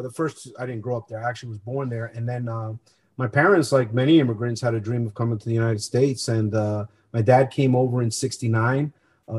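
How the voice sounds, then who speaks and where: A man's voice: medium pitch, darkish tone. Earnest middle-aged man telling his story. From born in Colombia, grew up in New Jersey. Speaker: interview guest. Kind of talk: conversational personal storytelling.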